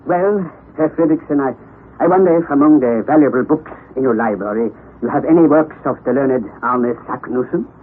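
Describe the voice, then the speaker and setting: Actor as fervent professor uncle on tinny old radio. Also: male voice, medium pitch, brisk, natural voice. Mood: eager, insistent. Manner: emphatic, declamatory, excitable. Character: eager, headstrong professor uncle, fervent and secretive. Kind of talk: old-time radio drama acting. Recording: tinny, noisy old radio broadcast recording.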